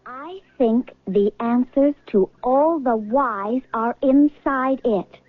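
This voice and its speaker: A woman's voice: high voice, slow, dry recording. An actress playing a carefree, coaxing woman in 1970s radio drama, with a playful, nonchalant manner. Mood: persuasive. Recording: thin phone-like old radio recording.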